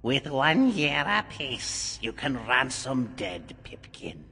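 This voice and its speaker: An actor playing a mysterious, grave bargain-maker in a dramatized audiobook, coaxing and solemn in deliberate, theatrical phrases. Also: male voice, medium pitch, sing-song, bright, forceful tone, breathy edge, full character voice. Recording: long hall reverb, music underneath.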